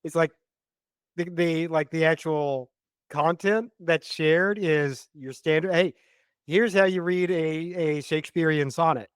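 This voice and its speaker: Podcast co-host: man, a bit high-pitched, wry and teasing, halting restarts, dry close sound. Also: clear, resonant tone, dry recording. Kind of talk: casual comedic podcast chat.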